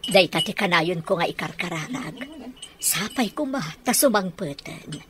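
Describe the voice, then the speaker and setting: A woman's voice: fairly low pitch, animated, normal speed, fairly bright tone, slight background noise. A woman with an Ilocano accent acting a wistful, prayerful mother in a radio drama. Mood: wistful, hopeful. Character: wistful, prayerful mother awaiting her absent child.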